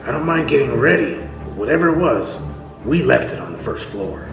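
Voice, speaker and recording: Blunt, lively-pitched zombie survivor acted by a man. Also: normal speed, close to an everyday voice. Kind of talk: radio play acting. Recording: echoey sound with music.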